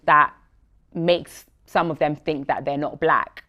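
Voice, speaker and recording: A woman interviewing guests with measured, pointed questions. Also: low voice, fairly even intonation, muffled recording.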